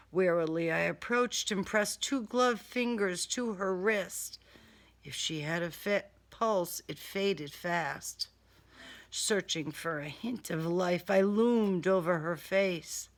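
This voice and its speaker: A woman's voice: lowish voice, gravelly, a bit bright. A woman reading a first-person crime thriller audiobook in a dramatic, wry manner. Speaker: author reading own novel excerpt.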